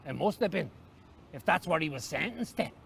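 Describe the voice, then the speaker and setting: Guy doing a cross flustered irish uncle, ex soldier, halting explanations, voice jumps up and down. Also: fairly high pitch, lively intonation, creaky, fairly bright tone, slightly rough, full character voice. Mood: matter-of-fact.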